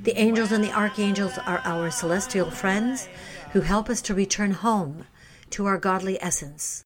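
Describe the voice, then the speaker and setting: A woman's voice: medium pitch, moderate pace, over music. A woman hosting an interview, asking questions and giving frequent short encouraging responses. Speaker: interviewer host.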